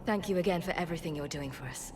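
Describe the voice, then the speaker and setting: A woman playing an earnest, composed scientist in a sci-fi video game, speaking in a measured, articulate way. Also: on the low side, natural voice. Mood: grateful. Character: earnest, composed adult woman scientist, former research physicist.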